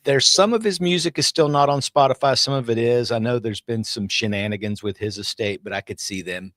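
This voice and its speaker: A man's voice: medium pitch, brisk, a bit bright, no echo, clean studio sound. A man chatting casually on a podcast panel, hesitant and with many fillers.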